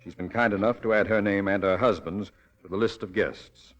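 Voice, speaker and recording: An actor playing a genial, determined gentleman detective, urbane and polite, in old-time radio drama style. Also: on the low side, steady tone, brisk, natural voice. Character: genial, determined Scotland Yard detective, well-mannered gentleman. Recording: dull vintage radio recording, faint music.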